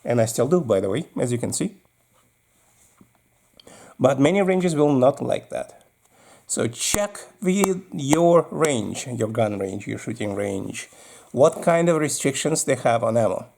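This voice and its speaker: A man's voice: mid-range voice, lively intonation, measured pace, gravelly, darkish tone, a little hiss or hum. A man with a Russian accent giving practical, casual instructions as a video host, explaining while handling his gear.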